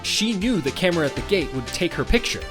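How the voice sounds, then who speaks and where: A man's voice: high-pitched, sing-song, quick pace, music in the background. A man playing an earnest young defense attorney in a courtroom game, cocky yet nervous, shifting between dramatic declarations and wry asides. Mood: confident, arguing. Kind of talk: dubbed courtroom drama video game acting.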